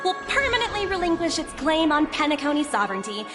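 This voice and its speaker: A cheerful young corporate envoy delivers formal news in a bright, businesslike tone. Her voice is high and moves around a lot. Game music plays behind her. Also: sing-song, fast.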